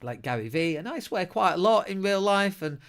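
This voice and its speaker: Middle-aged Leeds man, podcast host, slightly raised pitch, chatty, blunt, self-mocking. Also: sing-song, moderate pace, fairly bright tone. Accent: Northern English, Leeds. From England. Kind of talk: casual solo podcast talk.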